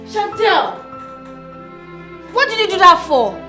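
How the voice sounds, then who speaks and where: Screen drama: disapproving, outspoken girlfriend-confidante, very high, animated pitch. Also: slow pace, soft background music, exaggerated voice. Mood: indignant, disgusted. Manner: challenging, indignant. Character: outspoken, disapproving young female friend.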